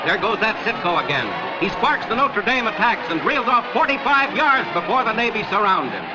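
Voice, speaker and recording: A man's voice: high voice, sing-song, brisk, dull audio. A man narrating football in a dramatic, punchy 1940s newsreel style, on a vintage film soundtrack. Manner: dramatic, punchy, declamatory delivery. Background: loud music.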